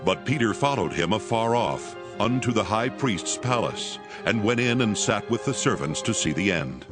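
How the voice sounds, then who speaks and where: A man with a fairly low voice narrates a dramatized scripture. His delivery is solemn and scripted, with music playing clearly behind him. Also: lively intonation, normal speed. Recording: background music under the voice.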